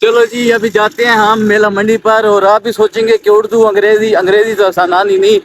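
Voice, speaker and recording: A man's voice: sits high, little pitch movement, moderate pace. A man with a Saraiki accent from Mianwali, chatting and joking as he walks through an outdoor market for his vlog. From Mianwali, Pakistan. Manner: joking, chatty, bargains with vendors. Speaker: vlogger. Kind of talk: casual vlog walk-through. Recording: noisy outdoor street sound. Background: street and traffic noise.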